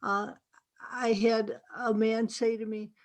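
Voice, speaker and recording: A woman author answering questions at a virtual book event over a video call, speaking in a deliberate, emphatic way with pauses. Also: fairly high pitch, level tone.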